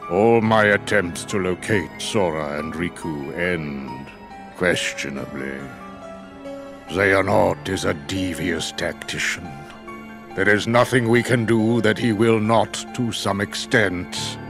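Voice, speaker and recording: An older man playing a wise old wizard, speaking formally and deliberately with grave authority in a game cutscene. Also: low voice. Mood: grave, resolute. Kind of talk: scripted video game cutscene acting.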